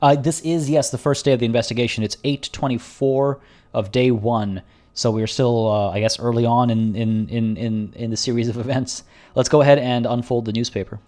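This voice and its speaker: A man streaming a video game, chatting casually with viewers in a rambling way, and slightly hoarse, as if losing his voice. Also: mid-range voice, fairly even intonation, fairly quick, a little mellow. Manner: rambling and chatty, frequent restarts. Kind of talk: casual livestream commentary.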